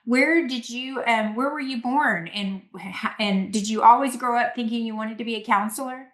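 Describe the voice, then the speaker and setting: A woman's voice: on the high side, moderate pace, fairly bright tone. A woman hosting an interview, asking questions in a friendly, hesitant way. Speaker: podcast host. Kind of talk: conversational interview. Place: Central Texas. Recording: echoey room.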